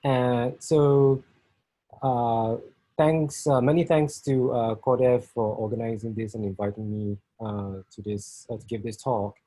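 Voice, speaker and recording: A middle-aged man from Singapore with a Singaporean accent, giving a talk over a video call, halting with many fillers and restarts. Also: mid-range voice, steady tone, warm tone, poor audio. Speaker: guest speaker.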